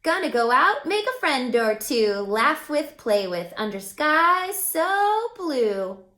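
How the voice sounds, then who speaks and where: A woman's voice: high-pitched, sing-song, slow, a bit bright, roomy sound, pitched above the performer's own voice, heavily acted. A woman voicing a shy, kind young female unicorn in a storybook, with earnest expression. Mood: hopeful chanting.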